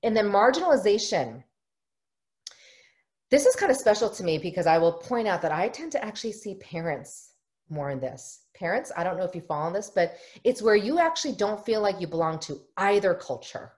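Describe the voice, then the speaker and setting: A woman's voice: medium pitch, sing-song, fairly quick, a little mellow. A middle-aged woman who is a family therapist, giving an online workshop from a home office over a webcam, earnest and explanatory. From United States. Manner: earnest, explanatory, often acts out quoted voices. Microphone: webcam on a video call.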